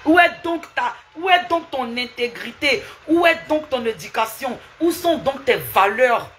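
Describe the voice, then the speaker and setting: A woman's voice: sits high, lively intonation, unhurried, fairly bright tone, slight background noise. A woman live streaming, lecturing and scolding someone with repeated rhetorical questions and asking viewers for hearts.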